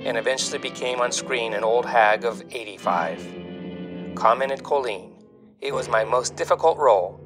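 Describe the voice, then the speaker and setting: Man narrating theatrically over guitar music. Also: mid-range voice. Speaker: podcast segment presenter. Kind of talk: scripted magazine-review narration. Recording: music bed under the voice.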